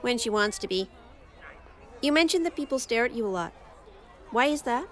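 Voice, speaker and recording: A woman's voice: fairly high pitch, expressive, normal speed, some chatter behind, close to an everyday voice. A woman playing a bold, carefree outsider girl in an audio drama, speaking in a blunt, confident, casual way. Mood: teasing.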